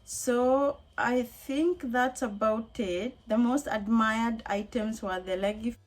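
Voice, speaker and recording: A woman with a slightly hoarse voice, chatting casually and upbeat in a rambling vlog recap as a craft hobbyist. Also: on the high side, takes it slowly, faint music behind. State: slightly hoarse from being unwell. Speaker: crochet hobbyist and craft vendor vlogger.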